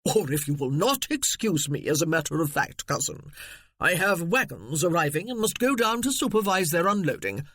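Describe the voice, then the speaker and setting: A man's voice: a little high, lively intonation, normal speed, sharp tone, dry recording, full character voice. A man voicing a pompous, blustering male cousin who turns fawning.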